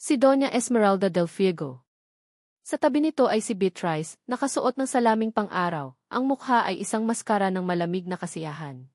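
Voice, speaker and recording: A woman narrates a scripted love drama with solemn, melodramatic delivery. Her pitch is average for a woman, and the recording is very dry, free of room sound. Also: animated, moderate pace, a little mellow, no room sound.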